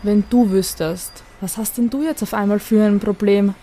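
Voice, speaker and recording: A woman playing a fussy mother of two with a soft heart in an amateur audio drama. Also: mid-range voice, steady tone, normal speed, slight background noise, natural voice. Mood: irritated. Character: fussy, irritable mother of two, softening into helpful listener.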